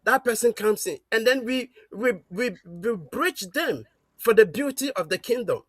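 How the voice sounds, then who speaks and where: A man calling in to an online talk show, giving a preaching-style message with many pauses and restarts. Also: extremely high pitch, expressive, no room sound. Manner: halting, with many fillers and restarts. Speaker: caller. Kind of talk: preaching-style call-in remarks.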